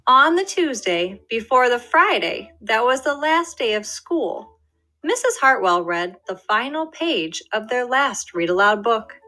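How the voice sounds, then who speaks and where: A woman reading a children's picture book aloud in an expressive, lively storytelling way. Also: on the high side, unhurried, faint music behind. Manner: lively, expressive storytelling with voiced character lines. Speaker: storybook reader. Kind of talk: children's read-aloud narration.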